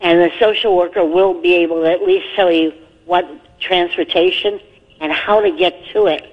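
Caller giving practical advice over a muffled line. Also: female voice, lowish voice, steady tone, normal speed. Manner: folksy, gives practical advice. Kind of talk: call-in radio chat. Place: phone call into a radio show. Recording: muffled phone-line call audio.

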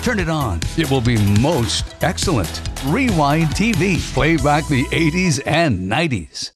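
A man's voice: on the high side, very animated, a little slow, music in the background. A man announcing a television promo in a playful, retro-slang style.

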